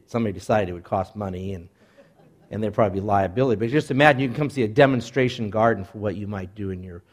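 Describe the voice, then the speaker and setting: A middle-aged man, a newspaper columnist, chatting and rambling as he takes questions from an audience, laughing often. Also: lowish voice. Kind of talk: casual Q&A talk with audience.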